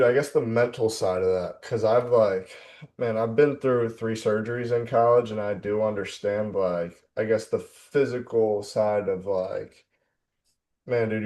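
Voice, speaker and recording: A young man with a dark, relaxed voice on the low side hosts a casual interview. He talks informally, dropping in plenty of buddy-like slang. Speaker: interview host. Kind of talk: casual chat.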